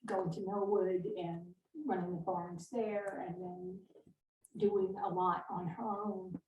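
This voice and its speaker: A woman from a historical society adding remarks during a Q&A. She speaks haltingly with many "um" fillers. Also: lowish voice, flat delivery, darkish tone. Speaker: historical society member commenting. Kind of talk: informal remarks in a Q&A. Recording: distant, roomy, faint background noise.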